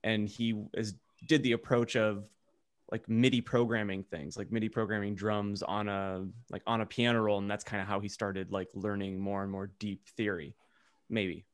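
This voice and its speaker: A man co-hosting a podcast, talking casually in an informal, halting and self-deprecating way. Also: on the low side, little pitch movement. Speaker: podcast co-host. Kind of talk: casual panel discussion.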